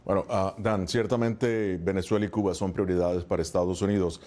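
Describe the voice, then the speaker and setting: A man's voice: deep voice, steady tone, fairly quick, darkish tone. A man hosting a studio news interview, formal and steering the talk with leading questions. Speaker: news host.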